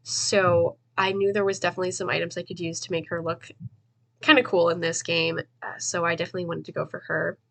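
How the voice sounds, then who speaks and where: A woman's voice: lowish voice, level tone, a little fast, creaky. A young woman with a stuffy, slightly nasal voice, casually talking through a game build as a chatty voice-over host. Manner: chatty and rambling, with fillers and laughs. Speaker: gaming video host. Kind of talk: casual voice-over gameplay commentary.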